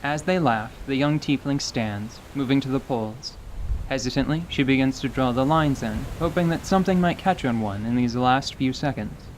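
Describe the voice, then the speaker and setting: A man narrating a scripted radio theater story in a measured, descriptive way. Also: medium pitch, a little hiss or hum, poor audio. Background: faint music.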